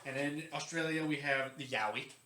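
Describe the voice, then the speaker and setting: A man's voice: on the high side, normal speed, sharp tone. A man hosting a podcast in a casual, joking, informal explainer style, stumbling over unfamiliar names. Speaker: podcast host. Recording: roomy echo, faint background noise.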